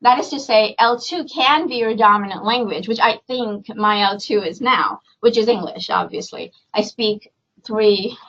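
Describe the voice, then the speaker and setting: A woman's voice: fairly high pitch, moderate pace, fairly bright tone. Chatty woman lecturing, muffled recording. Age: middle-aged. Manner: chatty, self-correcting, frequent fillers. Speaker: university lecturer. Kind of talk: academic seminar talk. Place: seminar room with live audience.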